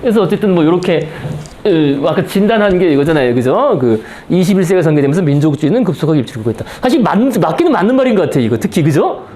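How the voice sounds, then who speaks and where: Mellow higher-pitched man leading a book reading. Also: very animated, quick pace. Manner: checks in with listeners using short tag questions. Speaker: reading group leader. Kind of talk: reading a book aloud and discussing it with a group.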